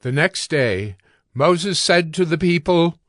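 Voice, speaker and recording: A man's voice: a little high, expressive, slow pace. A man narrating scripture as a dramatic, scripted audio production. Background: cinematic music.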